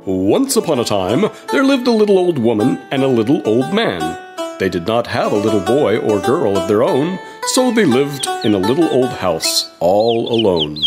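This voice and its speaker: A woman's voice: a bass voice, very animated, normal speed. A woman narrating a children's puppet show as a storyteller, reading from a script over music. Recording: music under the voice.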